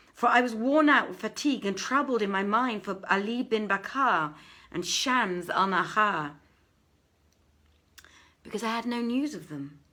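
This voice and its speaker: A woman reading an old literary tale aloud as a storyteller, with expressive, measured phrasing. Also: on the low side. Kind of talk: dramatic live literary reading.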